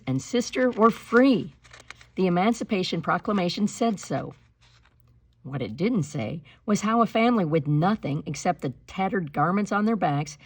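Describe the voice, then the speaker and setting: A woman reading a storybook aloud like a teacher, with deliberate, expressive phrasing and instructive delivery. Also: lowish voice, breathy edge, dry recording. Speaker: teacher reading a picture book aloud to pupils.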